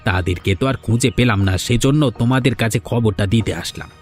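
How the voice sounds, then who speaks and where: A male actor plays a village boss's errand man in a cartoon. He is a sly, insolent tattletale with a mid-pitched voice, taunting and insinuating as he talks. Music runs underneath. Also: quick pace, close to an everyday voice. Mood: needling. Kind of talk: cartoon voice acting. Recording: music behind the voice.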